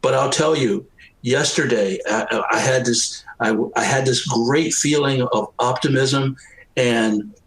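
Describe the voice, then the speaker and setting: An American man gives personal, earnest remarks to a panel over a video call. His voice is mid-pitched, and he speaks with emphasis, building his points through repetition. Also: slow, fairly bright tone, low-quality recording. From United States. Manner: emphatic, repeats phrases for effect.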